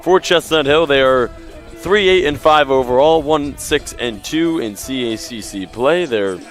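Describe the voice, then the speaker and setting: A male play-by-play caller for a college soccer game, his pitch sitting right in the ordinary middle. He works through standings and recent results in a steady, stat-heavy flow. When he gets a detail wrong, he stops and fixes it on air. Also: a little slow, faint music behind. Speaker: play-by-play commentator. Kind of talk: live sports commentary.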